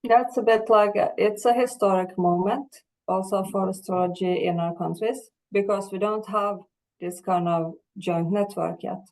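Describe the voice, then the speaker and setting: A woman from Finland, a working astrologer, introducing herself casually in a video meeting with slightly halting speech. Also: mid-range voice, fairly even intonation, darkish tone, rough recording. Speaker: astrologer and teacher.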